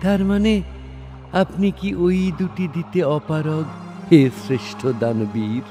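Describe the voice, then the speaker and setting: Crafty disguised god-king male actor, formal goading recitation, mellow dark tone, soft music behind. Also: high-pitched, heavily acted. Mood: reproachful. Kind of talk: dramatic Bengali verse recitation. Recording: studio voice over soft music.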